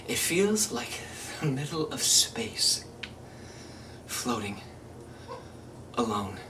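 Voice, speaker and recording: A man playing a menacing, controlling killer in a theatrical monologue, cold and volatile, with a deliberate, intimate, chilling delivery. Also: medium pitch. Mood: furious. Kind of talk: theatrical monologue, self-taped audition. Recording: distant mic, faint room noise.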